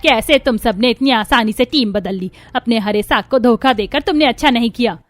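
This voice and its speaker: A woman's voice: on the high side, normal speed, dry recording, soft background music, natural voice. An actress playing a stubborn, insistent mother-in-law in a Hindi cartoon. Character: stubborn older mother-in-law, fond of greens.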